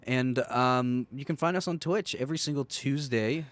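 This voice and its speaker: A man's voice: medium pitch, normal speed, no room sound. Dry-recorded man, chatty podcast co-host. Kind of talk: casual podcast banter.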